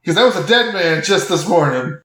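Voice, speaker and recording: Higher-voiced man in an echoey room. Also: lively intonation, a little fast, a bit bright. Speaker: tabletop game player. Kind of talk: casual improvised role-play. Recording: echoey, roomy sound.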